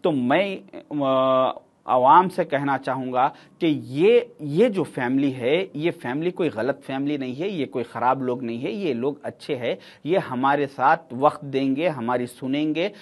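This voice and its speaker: A man's voice: mid-range voice, unhurried. A middle-aged man, giving sarcastic, emphatic political commentary to camera in a studio.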